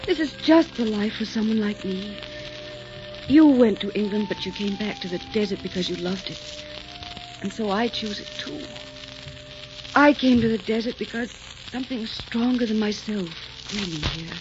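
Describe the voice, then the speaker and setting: A woman's voice: mid-range voice, moderate pace, lightly acted. An actress playing a devoted, spirited young woman in love, earnest and melodramatic in a 1940s radio romance. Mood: pleading. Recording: muffled crackly 1940s radio recording, music.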